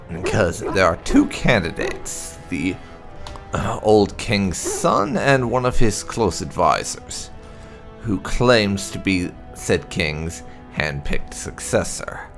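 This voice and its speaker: Male let's-play presenter, slightly low voice, animated intonation, deliberate halting phrasing. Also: gravelly. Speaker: gaming video host. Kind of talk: casual let's-play commentary.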